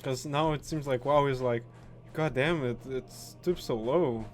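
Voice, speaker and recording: A man doing casual gameplay commentary, halting and repeating words, with frequent swearing. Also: medium pitch, soft background music. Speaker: gaming video host.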